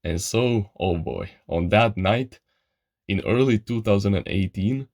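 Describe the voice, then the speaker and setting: A young man with a lowish voice tells a story from his own life in loose, slangy chat and keeps calling the listener bro. He is from Eastern Europe and speaks English as a second language. The sound is dry and close, with no room echo. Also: measured pace, a little mellow. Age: young adult. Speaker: channel host. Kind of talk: casual storytelling monologue.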